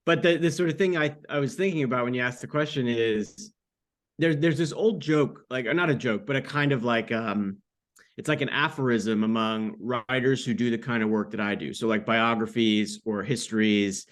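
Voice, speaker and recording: A man in his thirties speaks as a guest in a casual interview. His voice sits at a middle pitch, and he talks in a loose, informal way, circling through asides and often saying "like". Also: normal speed. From United States, born in France. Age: 30s. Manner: rambling, informal, frequent "like" asides. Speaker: podcast guest, author.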